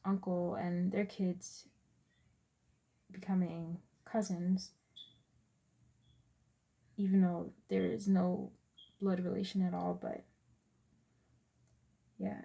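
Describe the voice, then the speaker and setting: A woman in her twenties with an American accent, giving a prepared class presentation in a measured, point-by-point manner. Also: on the low side, flat delivery, gravelly, dark tone. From US Virgin Islands and Texas, USA. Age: 20s. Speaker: student presenter.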